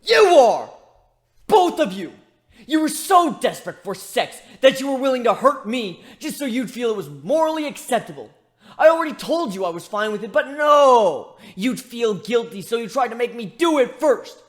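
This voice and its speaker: A man's voice: extremely high pitch, big pitch swings, moderate pace, voice pushed up. A man voicing a young male griffin in a dramatic story reading, hurt and bitter, ranting with sarcastic emphasis. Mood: furious. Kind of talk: dramatic fan fiction reading.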